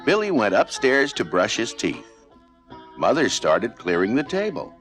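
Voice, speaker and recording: A man's voice: fairly low pitch, wildly swooping pitch, a little slow, fairly bright tone. A man narrating a children's read-along storybook in a scripted style. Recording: music playing behind narration.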